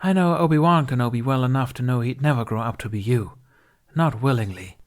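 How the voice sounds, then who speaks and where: A man's voice: medium pitch, brisk, voice pushed up, natural voice. A man voicing a stern alien woman healer from an audiobook story, blunt, scolding and exasperated. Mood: blunt reproach.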